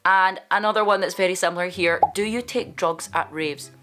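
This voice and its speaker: A woman's voice: fairly low pitch, moderate pace, a bit bright. Chatty woman in her twenties. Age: 20s. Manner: chatty and candid, with playful asides. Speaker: vlogger. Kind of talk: casual chat answering viewer questions.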